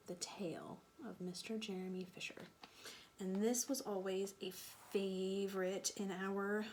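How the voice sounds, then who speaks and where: A woman reading a picture book aloud for children, slowly and deliberately, with sing-song emphasis. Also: mid-range voice, darkish tone, a little hiss or hum. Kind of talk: read-aloud children's storytelling.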